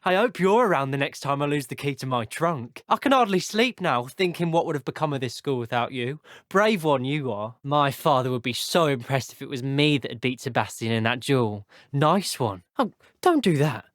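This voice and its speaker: Man playing a teenage wizard pupil, British accent, medium pitch, polite, chatty, wryly earnest, video game dialogue, dry studio sound. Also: expressive, normal speed, fairly bright tone, dry recording, close to an everyday voice. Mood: admiring, sincere. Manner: well-mannered, old-fashioned British phrasing. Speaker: voice actor. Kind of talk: scripted video game character voice clips.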